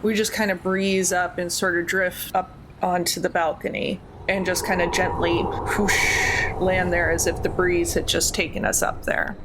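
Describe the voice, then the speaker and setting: A woman's voice: lowish voice, little pitch movement, moderate pace, background noise. A woman talking casually as a player in a tabletop role-playing game.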